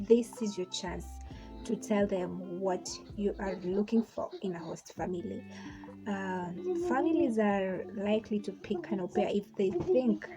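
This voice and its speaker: A young Kenyan woman talks through her vlog in a relaxed, chatty way at an ordinary middle pitch. She pauses often, fills the gaps with ums and uhs and restarts her sentences. Background music plays clearly underneath her voice. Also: rough recording. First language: Swahili. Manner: chatty, hesitant, many fillers and restarts. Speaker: vlogger. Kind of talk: casual how-to vlog.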